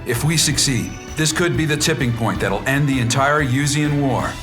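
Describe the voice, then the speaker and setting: Guy acting as a composed army commander doing a game mission briefing, mid pitch, music behind him. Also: normal speed, natural voice. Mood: composed, resolute. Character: male military commander giving a mission briefing, composed and resolute. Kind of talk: scripted military briefing.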